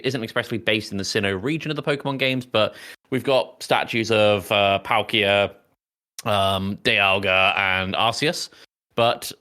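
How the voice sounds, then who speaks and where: A man's voice: lowish voice, sharp tone. A man co-hosting a podcast, recapping a film in a casual, rambling way that often interrupts itself. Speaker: podcast co-host.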